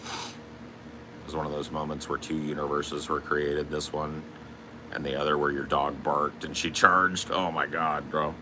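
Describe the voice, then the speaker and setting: A man with a booming voice, telling stories in a casual, profane podcast chat. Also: little pitch movement, a little slow, background noise. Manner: profane, storytelling. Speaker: podcast host.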